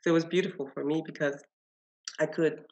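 A woman's voice: deep voice, fairly even intonation, a little mellow, lo-fi sound. Reflective Nigerian woman guest. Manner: thoughtful, pauses often, laughs lightly. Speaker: guest, industrial designer and artist. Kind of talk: podcast interview, reflective storytelling.

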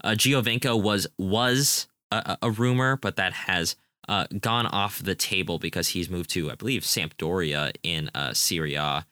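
A young man with a very low pitch and a bright, forceful tone leads a casual soccer preview. He talks enthusiastically, rambling and restarting his sentences. The sound is dry and close. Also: normal speed, few pauses, no echo. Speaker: podcast co-host.

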